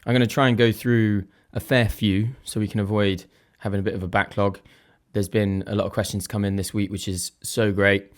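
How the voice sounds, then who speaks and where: Croaky-voiced man hosting a chatty podcast. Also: lowish voice, level tone, brisk, few pauses. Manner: chatty and self-deprecating, thinks aloud. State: slightly croaky voice. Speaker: podcast host. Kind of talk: casual solo podcast chat.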